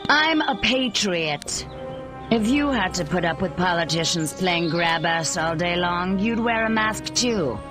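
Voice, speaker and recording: A woman's voice: on the low side, animated, a little slow. An actress playing a sardonic, masked female government agent in a video game, over music. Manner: sardonic, taunting. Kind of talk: video game cutscene acting. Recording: game audio with music behind.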